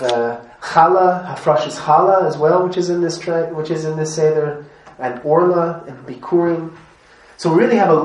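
A man's voice: fairly high pitch, a little mellow. Chatty man teaching in an echoey room. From Montreal, Canada. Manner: chatty, asks the group questions, laughs often. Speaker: rabbi teaching a class. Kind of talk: informal classroom lesson. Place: classroom. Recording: echoey room, mic at a distance, faint noise.

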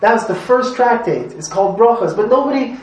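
A man's voice: sits high, lively intonation, fairly quick, a little mellow. A man from Montreal teaching an informal class, chatty and quizzing his students. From Montreal, Canada. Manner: chatty, asks the group questions, laughs often. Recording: echoey room, mic at a distance, faint noise.

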